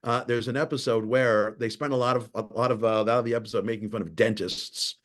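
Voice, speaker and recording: A man's voice: medium pitch, steady tone, fast talker. A male professor giving a lecture in a thoughtful way, often repeating and restarting words.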